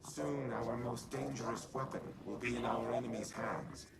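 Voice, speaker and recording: A man playing a noble, stoic artificial intelligence in a video game cutscene, speaking formally and deliberately. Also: mid-range voice, steady tone, gravelly, heavy background noise, close to an everyday voice. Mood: solemn, resigned. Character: solemn, noble male artificial intelligence war machine. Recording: distant voice under loud effects.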